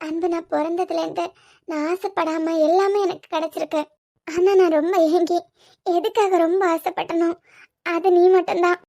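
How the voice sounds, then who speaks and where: A woman's voice: very high voice, steady tone, moderate pace, gravelly, warm tone. A woman playing a tearful young woman in love, pleading with the man she loves. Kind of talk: romantic audio drama acting.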